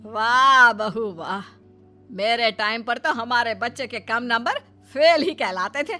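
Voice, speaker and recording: A woman's voice: fairly high pitch, slow, pushed and bright, faint music behind. A woman voicing a nagging, boastful mother-in-law who scolds and taunts in a family cartoon. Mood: scornful. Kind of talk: Hindi family cartoon voice acting.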